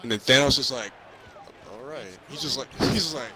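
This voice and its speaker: A man casually discussing a film with a friend, halting and repeating phrases as he thinks. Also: mid-range voice, a crowd in the background.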